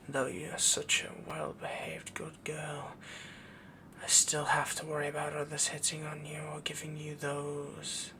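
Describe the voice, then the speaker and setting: A man playing a doting, possessive yandere werewolf boyfriend in an intimate roleplay audio, soft-spoken, whispery and adoring. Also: a little high, noisy background. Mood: smug, possessive.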